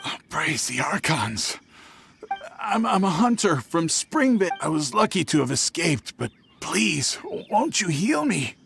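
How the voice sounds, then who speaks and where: A shifty, creaky-voiced bandit. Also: male voice, high-pitched, a bit bright, exaggerated voice. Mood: pleading. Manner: nervous, blustering, stammering. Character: shifty, whining male bandit posing as injured hunter. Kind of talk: anime-style video game voice acting.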